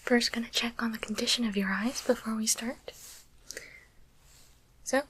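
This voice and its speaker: A woman playing a calm, courteous demon doctor in a whispered ASMR exam roleplay, giving slow, gentle, attentive instructions in a soft, breathy voice. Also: on the high side, low-quality recording. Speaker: ASMR performer.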